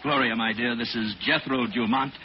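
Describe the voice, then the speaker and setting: A man's voice: medium pitch, fairly even intonation, moderate pace, natural voice. An American actor playing a brash, hearty, bossy film producer in a 1940s radio drama, blustering like a showman. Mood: hearty, boastful. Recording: muffled, noisy old radio recording.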